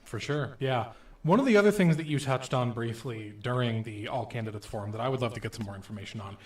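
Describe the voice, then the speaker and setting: A middle-aged man hosting an interview in a radio studio, asking questions in a relaxed, friendly way. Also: mid-range voice, fast talker, recorded from a distance. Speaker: host.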